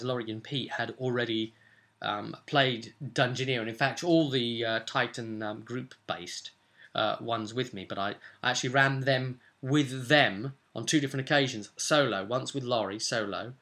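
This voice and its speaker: A young British man chatting casually and emphatically to camera as a game master, rambling in an animated way. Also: mid-range voice. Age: young adult. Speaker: tabletop role-playing game master and vlogger. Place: small furnished room at home, indoors. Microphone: camera or webcam at desk distance.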